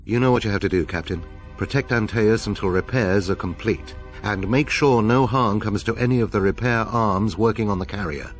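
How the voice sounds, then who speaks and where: Low-voiced grave military commander played by a man. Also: moderate pace, faint music behind, natural voice. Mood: stern briefing. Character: grave, battle-hardened male military commander. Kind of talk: dramatic video game voice acting.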